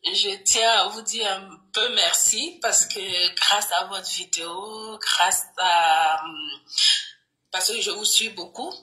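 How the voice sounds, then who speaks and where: A woman caller, hoarse from a cold, earnestly thanking the coach. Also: medium pitch, fairly even intonation, slow pace, bright, forceful tone, poor audio. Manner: grateful, earnest. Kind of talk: phone-in testimony.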